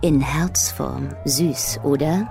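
Provocative nightclub woman, deep for a female, dark mellow tone, coy, sultry, unashamed, radio drama. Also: slow pace, vocal fry, faint music behind, full character voice. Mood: provocative, cool. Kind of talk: German radio drama acting.

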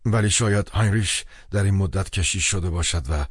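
A hard-up, sardonic man from an audiobook novel , acted out by a male narrator. He is insistent and wryly mocking, with a husky voice lifted above a narrator's low register, in a dry, close sound. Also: no room sound. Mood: pleading. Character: hard-up, pushy, sardonic man pleading with his brother by phone.

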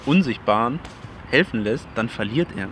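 Young man, enthusiastic student chat, mid pitch, faint background noise. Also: expressive, moderate pace, a bit bright. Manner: enthusiastic, run-on sentences. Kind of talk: casual chat.

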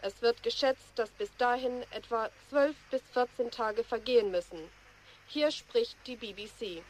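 Casting: woman as official radio newsreader in war drama, raised register, sober measured delivery, slight ambient noise. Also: a little high, lightly acted, low-quality recording. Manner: measured, impersonal. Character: sober official London radio announcer in wartime. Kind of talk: formal news bulletin in radio drama.

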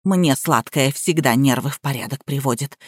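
A woman's voice: moderate pace, breathy edge, dry recording, clean studio sound. A woman voicing a down-to-earth, familiar housemaid in an audiobook, speaking in a matter-of-fact way. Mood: calm, matter-of-fact. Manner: low-key, matter-of-fact.

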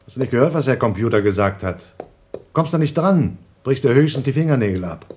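A man's voice: medium pitch, animated, a little fast, darkish tone, a little hiss or hum, close to an everyday voice. A man playing an anxious, principled astronaut in a radio drama, stern, reproachful and suspicious, in clipped phrases. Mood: agitated, reproachful.

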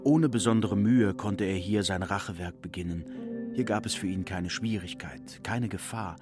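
A man's voice: low voice, normal speed. A man narrating a literary audio drama from a script. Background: music.

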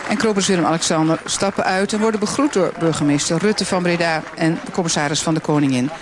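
A performer playing a formal, measured news reporter narrating a report in a comedy show. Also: mid-range voice, background noise, close to an everyday voice. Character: formal television news reporter on royal visit. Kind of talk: parody scripted news narration. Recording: loud music and crowd behind.

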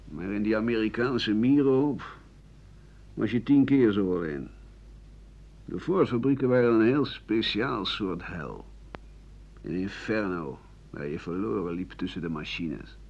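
A man's voice: on the low side, lively intonation, a little slow, pauses often. An actor in a radio play playing an embittered, world-weary old doctor and writer who grumbles disdainfully as he looks back. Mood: bitter, nostalgic. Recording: dull 1980 radio recording.

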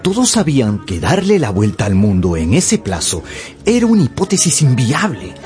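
Animated male radio storyteller, lively pitch. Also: normal speed, faint music behind. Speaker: narrator. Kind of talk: dramatic scripted storytelling for radio.

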